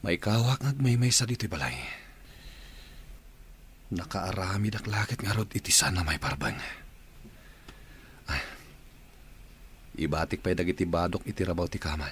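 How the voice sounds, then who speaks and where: A man's voice: sits low, measured pace, long pauses, slight background noise. A man playing a plain-spoken working husband and father in a radio drama. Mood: casual, wistful.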